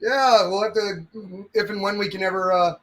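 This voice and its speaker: High bright texan guy joking around on a stream, laid back. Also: normal speed, poor audio. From Texas. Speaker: panelist. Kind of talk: casual stream chat.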